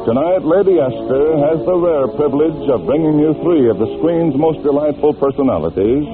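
Male announcer, 1940s radio style, formal and polished, raised pitch, music behind, narrow old broadcast sound. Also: lively intonation, fairly quick.

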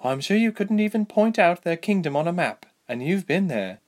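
A man's voice: high-pitched, very animated, moderate pace, a little mellow, pitched above the performer's own voice. A man voicing an easygoing female elf fighter with a dryly teasing manner, in a fantasy storybook. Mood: friendly, teasing.

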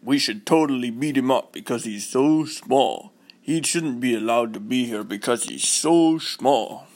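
A man's voice: medium pitch, a little slow, a little mellow, close to an everyday voice. A man voicing a big, simple-minded bully boy in a children's story, blunt and jeering. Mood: menacing.

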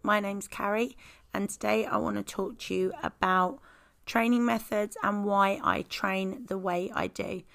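Female podcast host, croaky voice, calm scripted explainer of training methods. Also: mid-range voice, measured pace.

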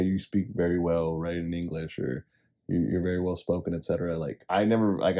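Chrapliwy, bardzo ciemny męski głos. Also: male voice, low-pitched, mellow. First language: Spanish. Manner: laid-back storytelling, many "like"s. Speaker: podcast co-host. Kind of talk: casual chat.

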